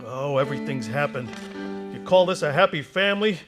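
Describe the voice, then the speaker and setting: Man playing a desperate family man, stammering, high-pitched, music behind. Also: animated, a little fast, natural voice. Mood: irritable. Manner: agitated, stammering. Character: desperate, frazzled family man near breaking. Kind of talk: radio play acting.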